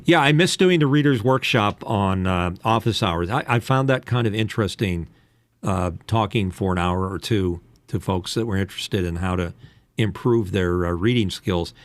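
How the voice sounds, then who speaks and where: A man's voice: on the low side, moderate pace, no room sound. A man in his late sixties chatting casually like a coach, giving candid, rambling explanations as a seasoned announcer and host. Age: late 60s. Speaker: voice-over announcer and podcast host. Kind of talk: casual chat, coaching on voice-over.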